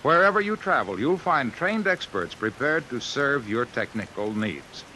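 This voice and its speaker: Bright, lively American radio pitchman. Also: moderate pace, poor audio. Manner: polished, emphatic salesmanship. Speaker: commercial announcer. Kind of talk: 1950s radio advertising copy. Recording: hissy old radio recording.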